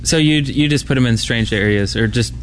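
Male podcast co-host, average pitch, conversational, light event noise in background. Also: brisk. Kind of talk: casual podcast chat. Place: indoor convention floor. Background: convention ambience and noise.

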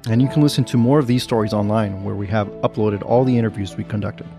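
A man hosting a podcast, reading scripted narration in a measured, earnest way. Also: fairly low pitch, steady tone, over music. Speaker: podcast host.

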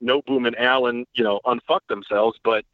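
A man guesting on a podcast, chatting casually with animated, profane, joking banter. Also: mid-range voice, fairly quick, dry recording. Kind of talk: casual sports podcast chat. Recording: phone-like remote call line.

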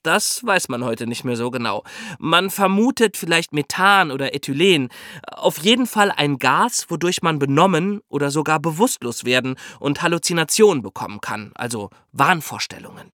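A man's voice: fairly high pitch, animated, normal speed, sharp tone, dry recording, clean studio sound. A man co-hosting a history podcast, explaining things in a colloquial, storytelling way.

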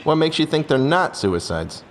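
Uniformed cop, audio drama, swooping intonation, pointed questions, veiled threat, faint ambient noise. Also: male voice, fairly high pitch, big pitch swings, normal speed. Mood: pointed, veiled menace. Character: uniformed male police officer, falsely cheerful and quietly menacing.

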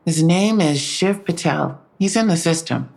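An actress playing a police detective in an audio drama, matter-of-fact and a little wry. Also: female voice, fairly low pitch, lively intonation, unhurried, vocal fry, a little mellow. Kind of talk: full-cast audio drama acting.

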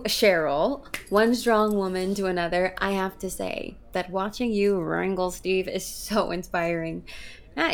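A woman's voice: medium pitch, close to an everyday voice. A woman playing an effusive, self-important theatre director in an audio comedy drama, grandiose and assured. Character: gushing, self-important female theatre director, bluntly confident.

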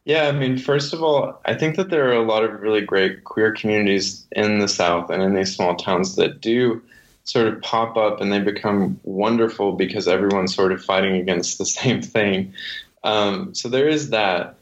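A man's voice: on the low side, moderate pace. A man speaking conversationally as a guest author being interviewed, on a slightly dull recording.

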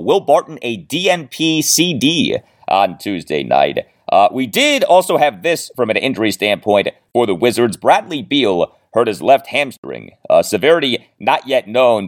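Man with big pitch swings recapping sports. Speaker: sports podcast host. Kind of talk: sports recap commentary with stats.

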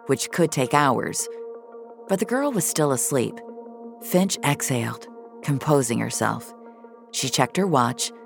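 A woman's voice: low voice, gravelly. A woman narrating scripted dramatic science-fiction audio fiction.

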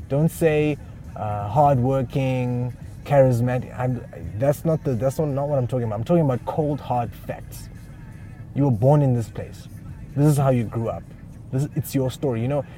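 A man's voice: medium pitch, warm tone. A man from Uganda, giving casual unscripted advice on music careers with lots of fillers and restarts. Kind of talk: casual spoken advice monologue.

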